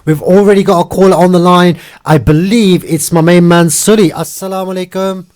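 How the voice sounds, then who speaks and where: A man hosting a live community radio show in a studio, talking in a lively, hurried, upbeat way. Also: sits high. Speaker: radio host.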